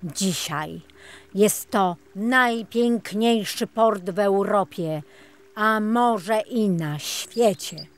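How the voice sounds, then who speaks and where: A woman's voice: mid-range voice, drawn-out words. A woman reading scripted narration for a children's story as its narrator. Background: music.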